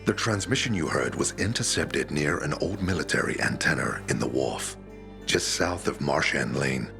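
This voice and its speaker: An actor playing a weathered older rebel radio broadcaster in a video game, gravelly and persuasive, with a measured, dramatic delivery. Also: male voice, animated, full character voice. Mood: earnest, persuasive. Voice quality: gravelly, very deep. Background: music.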